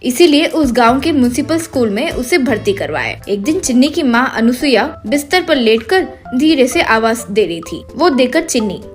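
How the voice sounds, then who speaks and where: A woman narrates a scripted tale for children. Her voice is high-pitched, and light music plays behind her. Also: big pitch swings, normal speed, few pauses.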